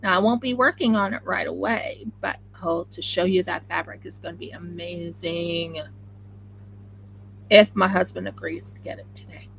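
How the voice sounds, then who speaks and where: A woman with an Oklahoma accent chatting excitably and rambling on a home craft live stream while she sews. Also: on the low side, moderate pace, creaky, a little hiss or hum, poor audio. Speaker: craft channel host. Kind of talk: casual live-stream chat while sewing.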